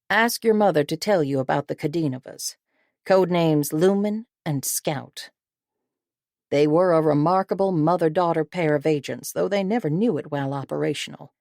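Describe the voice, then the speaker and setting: Formidable older female spy chief, composed and authoritative, lowish voice, dry close sound, read by a woman. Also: creaky, a little mellow, dry recording. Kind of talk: audiobook character reading.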